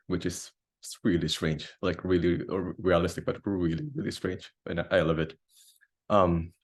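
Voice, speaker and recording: A man's voice: sits low, a little mellow. A young man from Indonesia, a composer and grad student, introducing himself casually on a video call with many fillers and restarts. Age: young adult.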